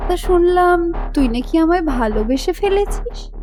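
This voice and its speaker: Casting: actress as spoiled, sneering rich young woman, high voice, unhurried condescending delivery, audio drama. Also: animated, darkish tone, soft background music. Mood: mocking. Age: young adult. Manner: taunting, condescending, drawling. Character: mocking, haughty rich young college woman. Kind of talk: audio story acting.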